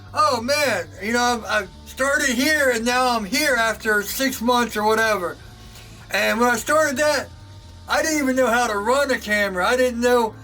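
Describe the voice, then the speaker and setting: Loud, high-voiced man projecting in a workshop. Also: far from the mic, low-quality recording. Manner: loud, projecting, excitable, laughs often. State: sore throat. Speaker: knifemaker and channel host. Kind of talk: casual talk to camera. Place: home workshop.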